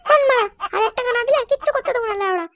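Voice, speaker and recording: A performer voicing a brash, foul-mouthed, scornful housewife with a shrill voice in a comedy cartoon. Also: expressive, rapid-fire, fairly bright tone, exaggerated voice. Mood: scornful, angry. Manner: scolding, mocking.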